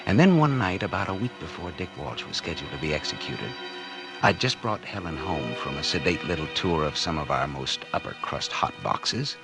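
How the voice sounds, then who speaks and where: Smug American schemer, 1940s radio noir. Deep, very animated, sardonic, confiding; music under, old broadcast sound. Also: male voice, normal speed, full character voice. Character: scheming, smug publisher narrating his crime, smitten lover. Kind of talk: 1940s radio noir drama acting and narration.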